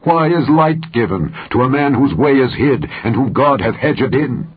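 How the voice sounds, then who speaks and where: A man's voice: mid-range voice, animated, moderate pace, slightly rough, pitched above the performer's own voice. A man reading the part of an anguished, grieving man lamenting his life in a solemn, declamatory, mournful manner. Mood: pleading, anguished. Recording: tinny, phone-like narrow-band recording.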